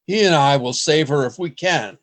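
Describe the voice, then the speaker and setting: A man's voice: a little high, background noise, lightly acted. An actor playing a sensitive, desperate man in a radio play, narrating his last journal with earnest melodrama. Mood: grim, urgent. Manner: earnest, melodramatic, deliberate delivery.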